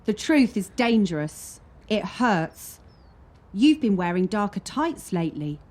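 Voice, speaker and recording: A woman's voice: medium pitch, sing-song, measured pace, darkish tone. A woman acting in a radio play as a young gymnast confiding with her wary teammate. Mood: probing, frank.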